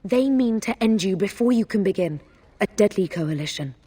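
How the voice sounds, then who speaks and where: Soft, husky noble informant. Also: female voice, mid-range voice, expressive, moderate pace. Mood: secretive, grave. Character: guarded, conspiratorial noblewoman informant, wary and shrewd. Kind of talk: scripted fantasy game dialogue.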